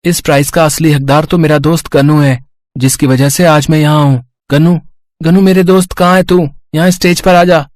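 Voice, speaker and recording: Storybook schoolboy, emotional, heartfelt, voiced by a man, higher voice. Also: fairly even intonation, moderate pace, darkish tone, no echo, close to an everyday voice. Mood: emotional calling. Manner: brash, then heartfelt. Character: schoolboy Vivek, troubled then earnest.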